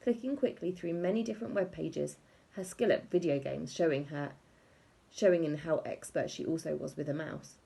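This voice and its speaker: A woman narrating a children's book aloud in an expressive scripted storytelling style. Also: low voice, moderate pace, vocal fry, husky. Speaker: audiobook reader.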